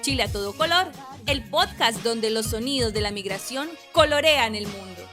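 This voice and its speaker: Woman announcer, high voice, scripted intro, music under. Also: animated, normal speed. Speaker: show announcer. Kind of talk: scripted narration. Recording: voice over music.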